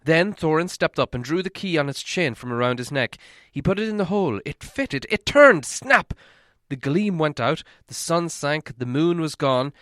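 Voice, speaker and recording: A man's voice: fairly high pitch, big pitch swings, normal speed, fairly bright tone, no echo, clean studio sound. A man reading a story aloud as a dramatic audiobook narrator.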